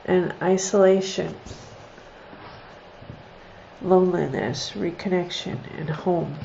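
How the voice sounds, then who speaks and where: A woman's voice: fairly low pitch, slow pace, creaky, mellow. A congested woman with a nasal voice, casually reading oracle cards on a livestream, chatty, thinking aloud and laughing often. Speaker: oracle card reader. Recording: slightly dull lo-fi sound with faint noise.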